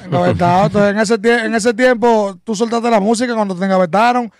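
A man's voice: high-pitched, fairly even intonation, a little fast, dry recording, clean studio sound. A Dominican man co-hosting morning radio, throwing cheeky jokes at the guest. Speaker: radio co-host. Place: radio studio.

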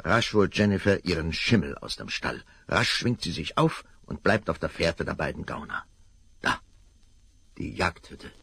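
A man narrating a 1970s radio play in a measured, suspenseful way, from a vinyl recording. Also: sits low, animated, creaky, a bit bright, breathy edge, no room sound. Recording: vinyl rip, faint noise.

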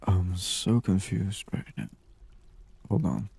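A man doing soft-spoken ASMR gaming commentary, murmuring and musing aloud to himself close to the microphone. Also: sits low, fairly even intonation, slow, creaky, husky, no room sound. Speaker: gaming channel host. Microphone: close microphone, dry.

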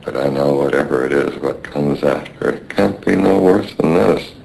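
An actor playing a weary, stoic, dying merchant sailor, rough-spoken, weak and in pain, in a radio drama. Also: male voice, extremely low pitch, normal speed, darkish tone, a little hiss or hum, heavily acted. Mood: resigned weary. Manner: rough uneducated sailor speech.

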